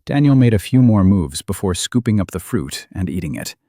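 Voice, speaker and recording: A man's voice: low voice, big pitch swings, brisk, warm tone, dry recording, studio quality. A man reading scripted fantasy narration as an audiobook narrator.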